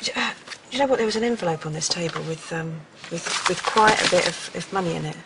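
A woman's voice: lowish voice, fast, natural voice. An actress with a British accent playing a guarded, worried middle-aged mother in a naturalistic TV soap. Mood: stern, uneasy. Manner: hesitant, stumbling. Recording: clear background noise.

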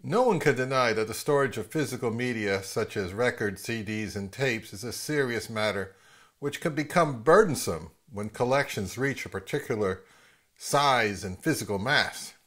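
A middle-aged man with a mid-pitched voice talks casually as a channel host. His delivery is measured and halting as he explains things at home. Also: lively intonation, fairly bright tone. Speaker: host of an audio hobby channel. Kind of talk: casual explainer to camera with some narration. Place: home living room.

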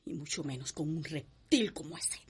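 A woman's voice: deep voice, lively intonation, normal speed, slightly rough, heavily acted. An actress with a Cuban accent playing a proud, defiant young mother, weary but resolute, in a radio soap opera.

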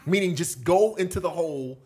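Husky-voiced man telling stories. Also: on the high side, fairly quick. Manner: animated, gestures a lot while telling prison stories. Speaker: podcast guest. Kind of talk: casual storytelling. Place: small studio with foam panels. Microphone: boom mic on table.

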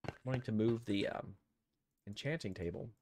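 A man's voice: lowish voice, moderate pace, background noise. An older man with a head cold, streaming a game from home on a headset mic and thinking aloud as he plays, often counting under his breath. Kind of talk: casual gameplay commentary. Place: home room.

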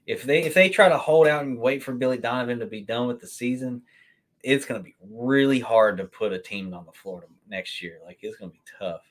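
A man's voice: medium pitch, fairly quick. A man, hosting a sneaker livestream and chatting casually as he answers viewer comments. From Kentucky. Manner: relaxed, chatty, answers chat questions on the fly. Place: room with shelves of sneakers, indoors.

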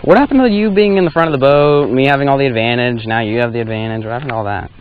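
A young man in his twenties, casually teasing and keeping score out loud while fishing from a small boat on a lake. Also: medium pitch, racing, muffled recording, slight background noise. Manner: teasing, keeps score aloud. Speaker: fishing vlogger. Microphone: action camera on a chest harness.

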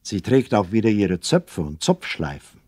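A man narrating a radio play as a scripted story, with measured, literary delivery. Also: lowish voice, very animated, a little mellow, husky, dry recording, clean studio sound.

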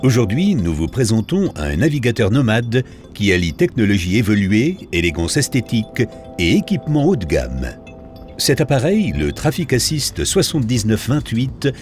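A man narrates a scripted product promotion in an animated way, his pitch moving freely. Faint music runs behind the voice. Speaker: voice-over narrator.

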